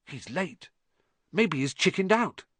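A man acts out an irritable schoolboy in an audiobook. He is blunt and defiant, with a raised, lively pitch. The recording is dry. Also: moderate pace, no room sound. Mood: whispering. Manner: muttering, grumpy bluntness. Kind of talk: audiobook character voice.

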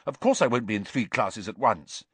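A man voicing a clever, bossy schoolgirl, brisk and prim. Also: fairly low pitch, slightly rough, no room sound. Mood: impatient. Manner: brisk, prim, slightly impatient.

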